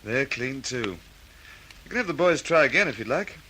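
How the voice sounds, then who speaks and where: Casting: loyal police underling, mid pitch, forceful, clipped, plain-spoken, faintly hissy radio play. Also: male voice, sing-song, normal speed, rough recording. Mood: matter-of-fact. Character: respectful, plain-spoken male police subordinate. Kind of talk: old radio detective drama acting.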